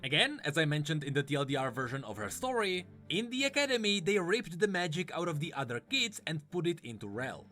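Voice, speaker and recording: A man's voice: fairly high pitch, expressive, fairly quick, hardly any pauses, bright, forceful tone, soft background music. A man giving scripted explanatory commentary in the style of a video narrator. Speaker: lore explainer.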